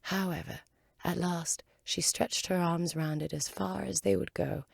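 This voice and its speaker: A woman's voice: on the low side, steady tone, measured pace, creaky, breathy edge, dry recording, clean studio sound. A woman narrating a children's story expressively as a volunteer reader.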